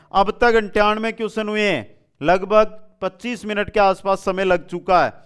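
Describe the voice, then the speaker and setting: A man's voice: high voice, fairly bright tone, clean tone. A man teaching a live quiz lesson, reading questions and answers aloud, repeating them and prodding students to respond. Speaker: online exam-coaching teacher.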